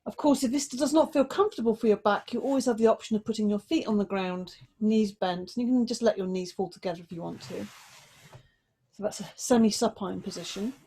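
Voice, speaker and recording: A woman teaches yoga relaxation in a mid-pitched voice. She speaks in slow, mindful phrases, leaving pauses and gently coaxing the listener through each instruction. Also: breathy edge. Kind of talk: guided relaxation and body check-in.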